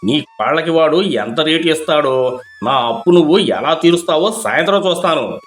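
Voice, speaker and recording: A man's voice: fairly high pitch, animated, normal speed, reverberant, lightly acted. An arrogant moneylender from a cartoon village tale, voiced by a man. He talks down to people in a mocking, threatening way, with music underneath. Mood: menacing. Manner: menacing, mocking.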